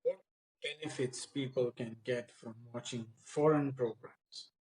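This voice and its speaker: A man's voice: medium pitch, steady tone, a little slow, darkish tone, low-quality recording. A man with a mid-range voice runs a mock English speaking test. He is blunt and instructive, putting questions and coaching on answers.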